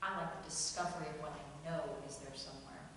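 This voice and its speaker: A woman's voice: low-pitched, steady tone, quick pace, a bit bright. A woman acting a wary, sarcastic, protective grandmother at a table reading of a stage play. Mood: guarded, curious. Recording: echoey hall from far off, faint noise.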